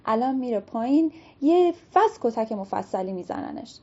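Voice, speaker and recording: A woman's voice: fairly high pitch, very animated, dark tone, highs cut off. A woman doing a fretful young hunchback man. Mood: fearful. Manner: anxious, pleading.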